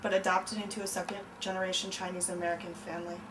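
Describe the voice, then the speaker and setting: A woman's voice: on the low side, flat delivery, fast talker. A woman, born in Korea and raised in Chicago, speaking in a thoughtful, earnest way in a casual conversation. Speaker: visitor in conversation. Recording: distant mic with clear background noise.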